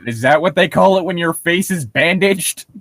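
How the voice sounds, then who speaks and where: A man's voice: fairly high pitch, normal speed, a bit bright, no echo, studio quality. A man reading a horror story aloud for comedy, breaking off into sarcastic, profane, incredulous commentary.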